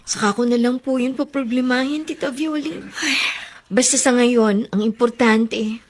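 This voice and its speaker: A woman's voice: on the high side, steady tone, normal speed, lightly acted. A woman with a Filipino accent playing a meek but resolute young mother-to-be in a radio drama, speaking politely. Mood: anxious but resolute. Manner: polite, apologetic.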